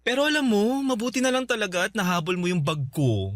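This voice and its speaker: Excitable, swooping-voiced friendly salon owner. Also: male voice, high voice, fairly quick, no echo, studio quality. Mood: grateful, friendly. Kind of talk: radio drama dialogue.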